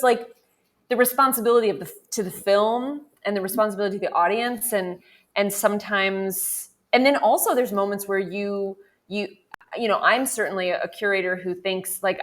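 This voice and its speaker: A woman hosting a podcast panel, talking casually as a film curator, rambling with many fillers. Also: mid-range voice.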